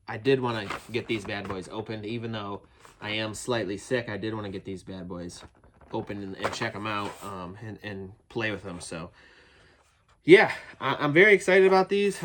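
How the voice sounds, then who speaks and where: A man with a somewhat low voice, stuffed up and nasal from a cold, a little phlegm in his throat. He talks in a loose, chatty way, restarting sentences as he reviews new figures, eager and plainspoken. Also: background noise. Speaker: toy collector reviewing action figures. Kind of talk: casual unboxing review. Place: his toy building, a separate outbuilding.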